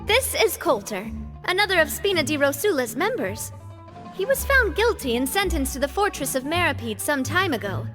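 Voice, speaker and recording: An actress playing a gracious, earnest young gang leader in a video game, speaking politely and with care. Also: female voice, high voice, very animated, moderate pace, a bit bright, faint music behind. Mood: composed. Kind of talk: video game dialogue acting.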